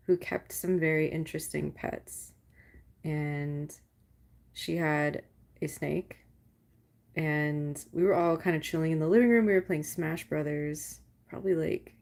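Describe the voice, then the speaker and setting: A young adult woman with a deep, gravelly low voice chats with her livestream viewers. She rambles, swears casually and fills her talk with "like" while telling stories. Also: darkish tone. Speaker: livestream host.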